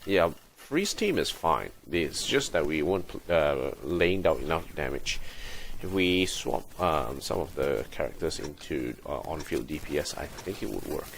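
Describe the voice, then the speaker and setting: Very deep, creaky-voiced male game streamer. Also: lively intonation, a little slow, few pauses, slight background noise. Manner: muttering, thinking aloud in fragments. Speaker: game livestreamer. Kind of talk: casual live gaming commentary.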